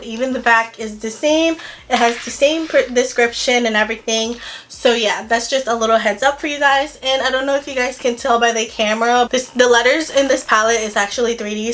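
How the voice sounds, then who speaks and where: A young woman with a slightly raspy voice, chatting casually and gushing through a makeup review for her channel. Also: on the high side, a bit bright. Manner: chatty and gushing, frequent "like" and "girl". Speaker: beauty vlogger.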